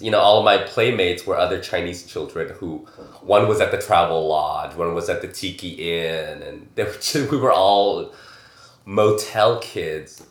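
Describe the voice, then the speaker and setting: A middle-aged man from Taiwan, a podcast guest chatting casually, animated and quick with questions. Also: low voice. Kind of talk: casual conversation. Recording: roomy echo.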